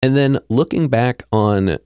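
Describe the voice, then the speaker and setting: A man's voice: mid-range voice, unhurried, a little mellow, clear, resonant tone, dry recording. A man hosting an interview, asking conversational questions. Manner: conversational, with short back-channel murmurs. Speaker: interviewer host.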